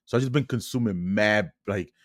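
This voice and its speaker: A man's voice: fairly low pitch, moderate pace, no echo, clean studio sound. A man from New York City with a New York accent, co-hosting a casual sports podcast, slangy and jokey in the way he talks. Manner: slangy, jokey, peppered with "bro" and "yo". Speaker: podcast co-host.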